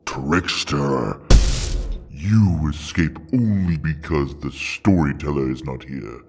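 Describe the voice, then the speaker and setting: A man's voice: very deep voice, takes it slowly, a little hiss or hum, exaggerated voice. A man playing an ancient, imperious dragon in an audio drama, speaking in a grand, formal, menacing way. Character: ancient, imperious male dragon guarding a hoard of books.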